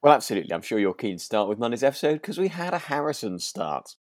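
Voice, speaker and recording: A man's voice: medium pitch, sing-song, fast talker, no echo, clean studio sound. A British man co-hosting a podcast in casual chat, agreeable and rambling, often hesitating as he goes. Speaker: podcast co-host.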